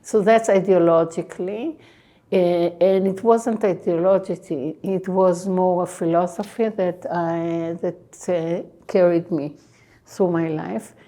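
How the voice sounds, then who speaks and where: A woman in her sixties from Israel with an Israeli accent, answering interview questions slowly and haltingly, with many fillers. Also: lowish voice, fairly even intonation, mellow. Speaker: guest, tech entrepreneur.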